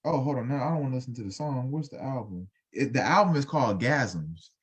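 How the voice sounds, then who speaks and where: A man's voice: mid-range voice, lively intonation, fast, husky. Rambling man in his thirties. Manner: loose and rambling, with many fillers. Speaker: podcast co-host. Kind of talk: casual podcast chat.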